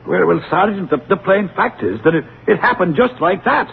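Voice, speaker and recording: Flustered male cop, high creaky voice, stammering, old tinny radio drama. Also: expressive, fairly quick, a little hiss or hum. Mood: earnest insistence. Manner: stammering, hesitant. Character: flustered, deferential male police officer. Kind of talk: vintage radio drama acting. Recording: tinny, band-limited vintage radio recording.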